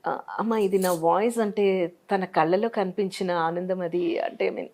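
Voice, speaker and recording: A woman's voice: fairly low pitch, steady tone, normal speed, darkish tone. A middle-aged Indian woman, reminiscing in a conversational interview, repeating phrases animatedly and mixing in English words. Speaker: interview guest, dubbing and announcement voice artist.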